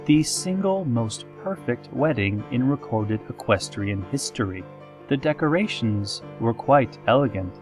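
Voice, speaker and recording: A man playing a solemn, eerie pony radio host, reading scripted parody news in a measured, formal deadpan. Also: mid-range voice, close to an everyday voice.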